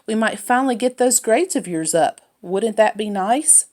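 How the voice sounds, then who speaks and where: A woman voicing a reassuring mother who gently coaxes her young son in a storybook. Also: a little high, expressive, moderate pace, natural voice.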